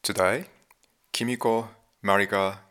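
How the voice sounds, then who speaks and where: A man hosting a podcast, reading out his interview questions in a measured, scripted way. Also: on the low side, steady tone, fairly bright tone. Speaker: podcast host.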